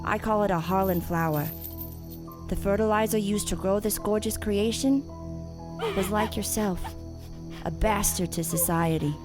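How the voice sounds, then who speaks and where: A woman's voice: medium pitch, normal speed, pauses often, music in the background, close to an everyday voice. A woman playing a self-righteous, menacing killer gardener in an audio drama, theatrical and condescending as she taunts her captive. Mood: righteous menace. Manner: theatrical, condescending, swinging from soft to shouting. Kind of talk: audio drama villain monologue.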